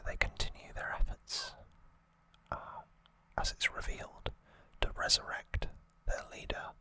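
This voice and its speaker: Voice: measured pace, sharp tone. An ASMR host whispering an unscripted, slightly hesitant retelling, close to the microphone. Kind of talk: whispered ASMR trivia recap.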